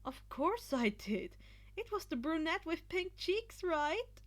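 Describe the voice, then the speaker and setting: A woman voicing a haughty, animated teenage schoolboy in an amateur story reading. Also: very high-pitched, takes it slowly, voice pushed up, exaggerated voice. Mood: smug. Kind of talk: amateur fan fiction audio reading.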